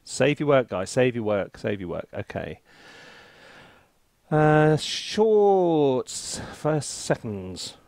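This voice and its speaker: A man's voice: medium pitch, big pitch swings, extremely slow, darkish tone, dry recording. A man giving a casual think-aloud music tutorial in a playful, self-deprecating, chatty way. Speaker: composer presenting a music software tutorial and review. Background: orchestral sample music.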